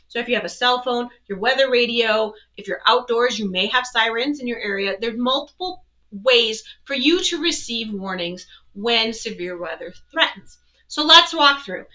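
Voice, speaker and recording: Bright, high-voiced woman giving instructions. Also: poor audio. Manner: explanatory, step by step. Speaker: presenter, weather educator. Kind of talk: instructional product walkthrough.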